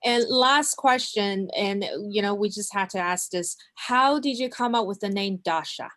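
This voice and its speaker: A woman's voice: medium pitch, moderate pace, fairly bright tone. Brief: woman host on a video call, upbeat, mid-range voice. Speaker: host, moderator. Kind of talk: moderating a video-call talk.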